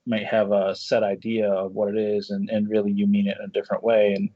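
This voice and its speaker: A man speaking casually as an interview guest, a screenwriter and director, in a halting, thoughtful way that circles back through rephrasings. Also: lowish voice, monotone, dry recording. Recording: dull, muffled lo-fi audio.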